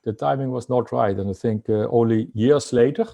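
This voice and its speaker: A man's voice: mid-range voice, normal speed, gravelly, dark tone. A middle-aged Dutch man with a Dutch accent, a guest in an interview, talking with many fillers and restarts. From Netherlands. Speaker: guest entrepreneur. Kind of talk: casual interview talk.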